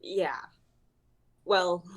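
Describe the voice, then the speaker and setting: A woman's voice: on the low side, extremely slow. A woman playing a playful, teasing young woman in a casual dramatic reading. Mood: shy, amused. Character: playful, teasing young woman named Bellavia sharing a ride.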